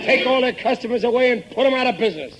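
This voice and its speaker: A man's voice: very high voice, animated, rapid-fire. An actor playing a stubborn, petty working-class family man, folksy and blustering, in an old-time radio sitcom. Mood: scheming, indignant. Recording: noisy vintage 1950s radio broadcast.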